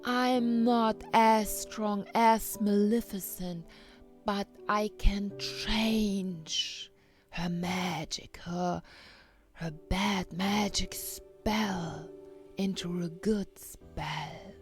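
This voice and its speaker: A woman voicing a gentle good fairy in a fairy tale, speaking solemnly and deliberately, as if casting a spell. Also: medium pitch, steady tone, faint music behind. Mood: gentle, apologetic.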